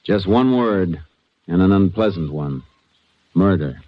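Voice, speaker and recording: A deep-voiced Texas Ranger, calm, observant and sparing with words. He speaks in an understated old radio drama manner. The recording is muffled and clearly noisy. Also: slow pace. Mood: grave. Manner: laconic, understated, clipped phrases. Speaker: lead actor in a radio western crime drama. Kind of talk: old-time radio drama acting and first-person narration.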